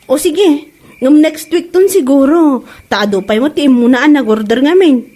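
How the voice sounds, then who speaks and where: A woman playing a friendly young chili oil seller in a comedy audio drama, with an exclamatory, expressive way of talking, in Ilocano. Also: high voice, moderate pace, natural voice.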